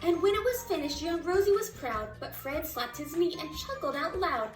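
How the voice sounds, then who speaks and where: High-voiced woman reading a storybook aloud, roomy sound. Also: moderate pace. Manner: animated, sing-song rhyming delivery with dramatic emphasis. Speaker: storytime reader. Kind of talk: expressive children's picture book read-aloud. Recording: roomy, distant mic with music.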